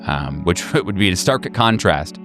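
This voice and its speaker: A man with a deep, low voice works as game master of a horror tabletop game. He improvises his descriptions in a halting way, searching for the next word. Faint music sits behind him. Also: sing-song, fairly bright tone.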